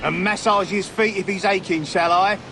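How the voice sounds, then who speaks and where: Sarcastic prison guard, high voice, sneering, game cutscene, noisy ambience. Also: male voice, fairly even intonation, normal speed, vocal fry. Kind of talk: video game cutscene acting.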